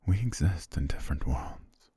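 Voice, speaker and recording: A man playing a deep-voiced, possessive butler groom. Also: expressive, normal speed, breathy edge, no echo, heavily acted. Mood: tender, hushed. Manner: soft, formal, half-whispered delivery. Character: devoted male butler wedding his princess, quietly controlling. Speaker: ASMR roleplay voice actor. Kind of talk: intimate ASMR roleplay monologue.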